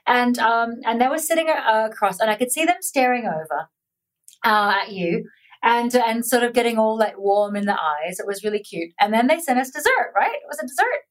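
A woman interviewing a guest, telling stories in an animated, chatty way with laughter. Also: fairly high pitch, fairly quick. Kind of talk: conversational interview.

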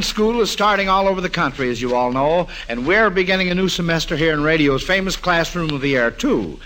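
An American man hosts an old radio quiz in a bright, forceful voice pitched a little high. The recording is vintage, with faint noise. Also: low-quality recording. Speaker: quiz show host. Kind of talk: mid-century radio quizmastering.

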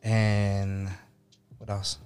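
A man talking as a podcast guest, relaxed and rambling, with lots of fillers and restarts. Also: fairly low pitch, very slow, clean tone, faint music behind. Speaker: podcast guest, musician and activist. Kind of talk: casual podcast chat. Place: podcast studio in Los Angeles.

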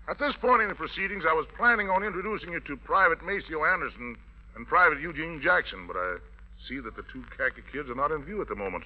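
A man emceeing a 1940s jive radio show with flamboyant, showy patter, on a muffled old recording. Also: a little high. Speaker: master of ceremonies.

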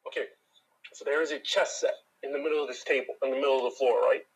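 A deep-voiced man telling a work story in an exasperated, ranting way, speaking directly to his listeners. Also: fairly even intonation, fast, gravelly, slightly rough, low-quality recording. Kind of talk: casual chat.

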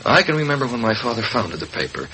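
An actor playing a principled, authoritative newspaper publisher, crisp and earnest, in an old radio drama. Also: male voice, medium pitch, big pitch swings, fast, bright, forceful tone. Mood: earnest, resolute. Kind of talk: 1940s radio drama acting. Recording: noisy old radio recording.

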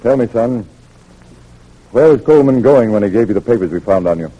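An actor in an old-time radio drama playing an older general, measured and fatherly, pressing with coaxing authority. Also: on the low side, lively intonation, dark tone, low-quality recording. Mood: fatherly, probing. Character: fatherly, persistent older Union general questioning a prisoner.

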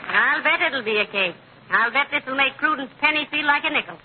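A woman playing a patient, gently teasing wife in 1940s-style radio comedy, with a wry, folksy delivery. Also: high-pitched, expressive, a little fast. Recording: noisy, tinny old radio recording.

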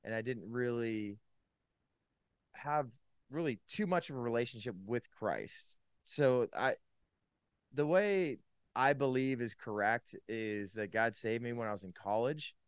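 A man's voice: medium pitch, a little slow, clear, resonant tone, no echo, clean studio sound. A man from California, a podcast guest, telling his faith story in a casual, rambling way with many "likes" and restarts. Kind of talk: casual personal testimony.

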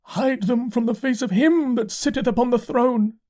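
A man doing a frantic, half-mad curate. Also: a little mellow. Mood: doom-laden. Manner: complaining, pleading, slow and high-strung. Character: distraught, half-mad male curate, pale and thin. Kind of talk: audiobook character voice.

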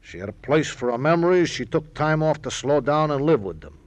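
An actor playing a proud, bitter, aging ex-gangster in an old radio crime drama, brooding in repeated fragments. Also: male voice, fairly high pitch, lively intonation, fairly quick, dry recording, close to an everyday voice. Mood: wistful, brooding. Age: older.

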